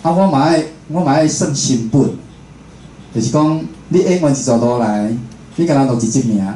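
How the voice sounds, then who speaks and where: A man's voice: mid-range voice, expressive, normal speed, dark tone. A man with a Taiwanese accent giving an informal, chatty public talk, often closing his phrases with a small confirming tag. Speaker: radio host and programme producer giving a talk. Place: indoor talk venue with a seated audience. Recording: roomy echo, faint background noise.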